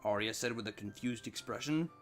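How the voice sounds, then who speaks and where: A narrator reading fan fiction aloud in an expressive scripted storytelling style. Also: medium pitch, brisk, faint music behind.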